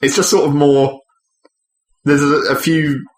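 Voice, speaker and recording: A man's voice: on the high side, level tone, measured pace. A man in a casual gaming chat, explaining things informally with plenty of "sort of". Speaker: podcast panelist. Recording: echoey room.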